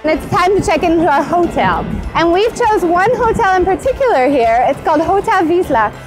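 Female travel host, thirties, high voice, keen explainer to camera, clear music bed underneath. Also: animated, moderate pace, low-quality recording. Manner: enthusiastic, explanatory. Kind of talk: upbeat travel vlog presenting to camera. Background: background music.

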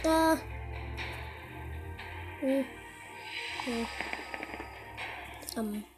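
A teenager giving casual, excitable commentary while playing a mobile game, repeating short phrases and calling out to other players. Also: high-pitched, extremely slow. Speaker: gamer. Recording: game music loud behind voice.

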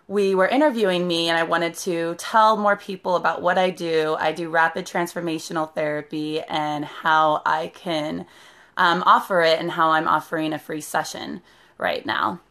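A woman in her thirties, a therapist, with a raspy, hoarse voice, talking casually to viewers on a live stream and greeting them by name. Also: lowish voice, normal speed, fairly bright tone. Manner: chatty, greets viewers by name mid-sentence. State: hoarse from shouting. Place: living room with sofa, indoors. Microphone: phone camera at arm's length.

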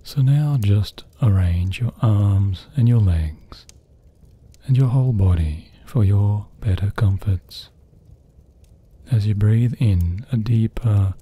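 Super slow guy doing sleep hypnosis, really dark mellow voice, soft and gentle, pauses a lot. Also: lowish voice, breathy edge. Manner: soothing, gentle, hushed delivery with long pauses. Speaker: sleep meditation guide and hypnosis narrator. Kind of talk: guided sleep meditation, slow scripted narration.

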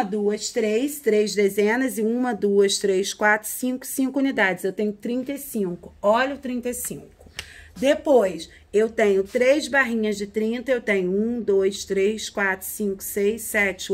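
A woman in her forties teaching young children from a classroom, counting slowly in a sing-song way and repeating each number. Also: mid-range voice. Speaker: primary school teacher. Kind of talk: remote lesson for young children. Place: classroom with wall charts, indoors.

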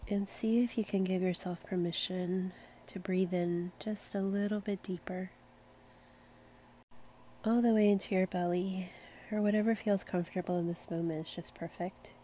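A woman leading a guided meditation in a gentle, soothing, unhurried manner. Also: medium pitch, steady tone, a little hiss or hum. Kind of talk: slow guided meditation narration.

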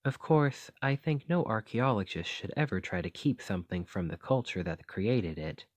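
A man playing a gentle, curious fantasy archaeologist in an ASMR roleplay, speaking in a formal, musing, articulate way. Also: fairly low pitch, lively intonation, moderate pace, no echo. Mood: kindly, earnest.

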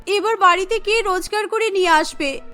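A woman's voice: extremely high pitch, normal speed, sharp tone, faint music behind. A woman voicing an earnest, pleading woman of a poor family in a cartoon story. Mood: anxious, distressed.

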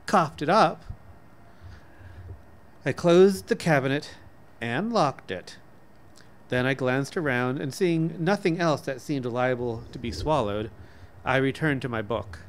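Halting man reading a story aloud. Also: mid-range voice, a little hiss or hum. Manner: halting, often stumbles and rereads words. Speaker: reader. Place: small office indoors. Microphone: desk microphone, close.

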